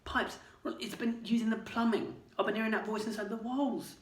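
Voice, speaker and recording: A man voicing an earnest, quick-thinking teenage schoolboy who talks urgently and eagerly. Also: high voice, fairly even intonation, voice pushed up, close to an everyday voice. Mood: excited. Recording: roomy, distant mic.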